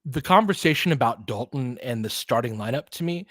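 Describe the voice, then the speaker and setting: A man calls basketball play-by-play in a clipped, running style at an ordinary pitch for a man. He breaks into excited bursts and quick laughs when plays go his team's way. The sound is close and dry, with no room behind it. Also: no room sound. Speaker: play-by-play commentator. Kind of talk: fan play-by-play commentary.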